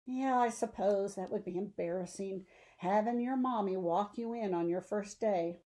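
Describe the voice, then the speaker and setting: A caring mother as a woman storyteller plays her, tender and solicitous. She fusses gently over her young teenager, in an audiobook storytelling style. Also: mid-range voice, normal speed, natural voice. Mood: gently concerned.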